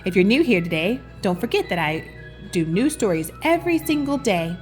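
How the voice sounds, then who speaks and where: A woman's voice: medium pitch, very animated, a little fast. A woman reads a picture book aloud expressively, with affectionate, chatty asides to the listener. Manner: affectionate, addresses the listener as sweetheart. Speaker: children's storybook reader and host. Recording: music behind the voice. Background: music.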